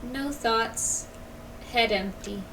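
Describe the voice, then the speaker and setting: A woman's voice: a little high, extremely slow, distant mic, background noise. A woman giving casual live commentary as she streams a video game.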